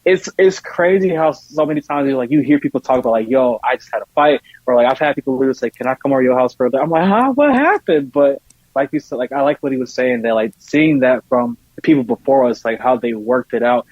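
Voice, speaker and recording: Man, slightly rough unclear tone, informal conversation, emphatic repetition, dull dry audio. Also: medium pitch, fast, dry recording. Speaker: podcast guest. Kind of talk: casual conversation.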